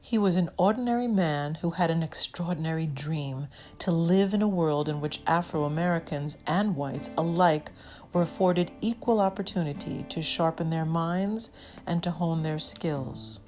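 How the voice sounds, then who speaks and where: A woman performing a dramatic reading of a commemorative speech with deliberate phrasing. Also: low-pitched, darkish tone, faint music behind.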